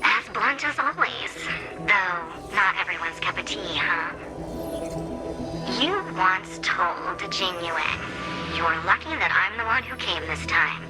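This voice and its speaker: A woman's voice: fairly low pitch, lively intonation, slow pace, over music, poor audio. A woman voicing a playful, knowing seer strategist in a video game, with a polite, teasing and theatrical delivery.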